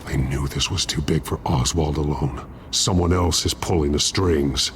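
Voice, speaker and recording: An actor playing a grim, commanding masked vigilante in a video game, with a deep, grave voice. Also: male voice, normal speed. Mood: grim resolve. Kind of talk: video game hero acting. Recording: reverberant, noisy game scene.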